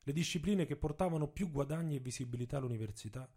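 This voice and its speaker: A man's voice: fairly high pitch, fast, dark tone. A man playing a proud, indignant young American activist professor in a dramatic radio monologue, telling his story emphatically. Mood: bitter, measured. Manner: emphatic storytelling in the first person. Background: music with instruments.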